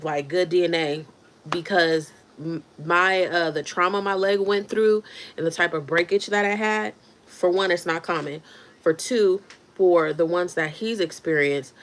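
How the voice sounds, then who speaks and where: Casting note: thirties woman, lowish voice, loose chatty livestream host answering comments. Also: a bit bright. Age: 30s. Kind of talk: casual livestream chat.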